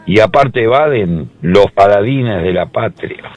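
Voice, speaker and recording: A man's voice: on the low side, animated, a little slow, a little mellow, lo-fi sound, soft background music. A man calling in to a radio show, indignant about money. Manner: indignant questioning. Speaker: caller.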